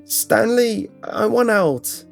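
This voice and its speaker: A man acts out a frightened boy in an audiobook horror tale. The voice jumps high above the narrator's, urgent and pleading, as the boy protests and yells to get free. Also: big pitch swings, normal speed, gravelly, soft background music, full character voice. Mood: panicked. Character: frightened boy trapped in electric arcade chair, protesting. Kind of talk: first-person horror audiobook character dialogue.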